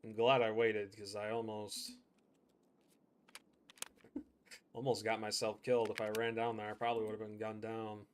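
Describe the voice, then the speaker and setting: A man's voice: lowish voice, little pitch movement, moderate pace, long pauses, clear, resonant tone. Casual man commentating his gameplay. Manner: casual, chatty, thinks aloud while playing. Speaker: streamer.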